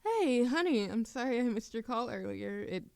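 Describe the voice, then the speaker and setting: A woman playing an affectionate, playful and easily flustered young witch in love, speaking softly and haltingly in an intimate romantic audio roleplay. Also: on the high side, lively intonation, gravelly, a little hiss or hum. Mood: teasing, affectionate. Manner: soft, halting, teasing, giggly.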